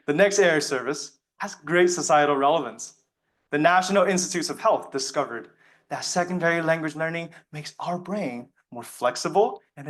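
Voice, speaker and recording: A young man whose native language is Mandarin gives a rehearsed persuasive speech. His voice is fairly high for a man and moves widely in pitch. He phrases earnestly, leaning on key words like a student orator. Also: fairly bright tone. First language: Mandarin Chinese. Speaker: student competition speaker. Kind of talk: prepared persuasive public speech.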